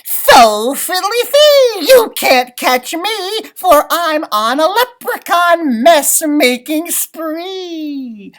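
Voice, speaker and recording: A man's voice: very high voice, very animated, drawn-out words, hardly any pauses, a bit bright, voice pushed up, exaggerated voice. A man voicing a sly, boastful little leprechaun in a storybook, taunting in stretched sing-song rhymes. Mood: gleeful taunting.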